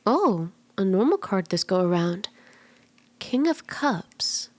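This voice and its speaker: A woman voicing a flirty, soothing kitsune fortune teller in an ASMR roleplay, speaking gently and coaxingly, at times in breathy whispers. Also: mid-range voice, big pitch swings, slow, a little hiss or hum. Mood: playful, warm. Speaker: tarot reader.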